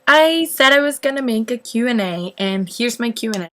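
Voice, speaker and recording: A woman's voice: a little high, lively intonation, moderate pace, fairly bright tone. A woman in her twenties from northeast Mexico, a native Spanish speaker, chatting casually and ramblingly to camera in a self-deprecating way. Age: 20s. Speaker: vlogger answering viewer questions.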